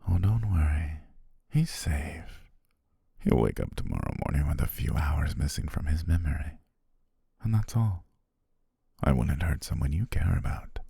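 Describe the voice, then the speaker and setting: Man voicing a very deep, husky, coaxing serpent shapeshifter. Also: sing-song, no room sound, clean studio sound, full character voice. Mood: tender, possessive, coaxing. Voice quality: very deep, husky, breathy, often whispered. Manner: slow, gentle, formal, coaxing. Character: possessive male naga shapeshifter posing as devoted, soothing servant. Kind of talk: intimate ASMR roleplay.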